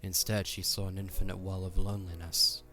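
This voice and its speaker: A deep-voiced man narrates a story over faint music. His delivery is measured and solemn, as the narrator of an audio drama. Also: level tone, bright, forceful tone.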